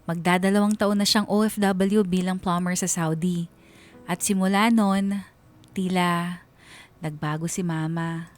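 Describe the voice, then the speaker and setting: A woman's voice: on the low side, steady tone, measured pace, pauses often, natural voice. Actress as married teacher lady telling her sad family grievances, radio drama confession, music under it. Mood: aggrieved, reflective. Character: aggrieved married schoolteacher narrating her family troubles.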